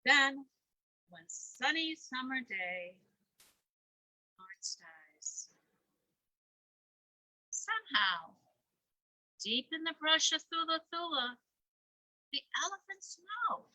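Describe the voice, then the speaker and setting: Older woman, very bright voice, animated storytelling. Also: sits high, takes it slowly, long pauses, no room sound, poor audio. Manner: expressive, asks playful questions. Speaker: volunteer grandparent storyteller. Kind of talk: read-aloud children's story with asides to young listeners.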